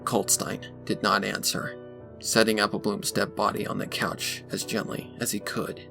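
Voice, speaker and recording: A man narrating a dark story from a script in a dramatic style. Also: fairly low pitch, level tone, normal speed, vocal fry. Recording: music behind the voice.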